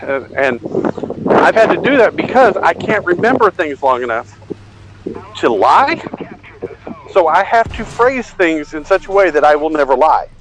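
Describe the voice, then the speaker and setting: A man's voice: fairly high pitch, sing-song, a little slow, long pauses, background noise. A middle-aged man telling a rambling, self-deprecating anecdote in a casual way, acting out bits of dialogue. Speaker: storyteller.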